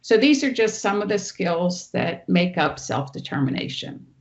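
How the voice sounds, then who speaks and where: A woman presenter with a loud teacher voice, giving an online training talk and walking through each slide. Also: on the low side, sing-song, normal speed, lo-fi sound. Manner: explains clearly, walks through each slide. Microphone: online meeting audio.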